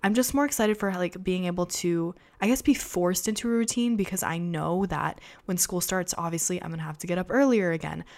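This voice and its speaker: A young woman hosting a casual solo podcast, her voice raspy from a sore throat, chatting in a rambling way full of fillers. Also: lowish voice, fairly quick, hardly any pauses. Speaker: podcast host.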